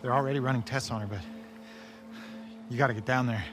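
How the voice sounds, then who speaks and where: A man acting as a young American militia man in a video game drama. He is brisk and businesslike, with a medium-pitched voice. Music is clearly heard behind him. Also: fairly even intonation, natural voice. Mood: serious. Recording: music under the dialogue.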